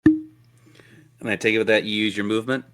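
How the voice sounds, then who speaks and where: A man's voice: lowish voice, fairly even intonation, moderate pace, fairly bright tone. A man running a tabletop role-playing game as game master, telling the story in a descriptive, theatrical way.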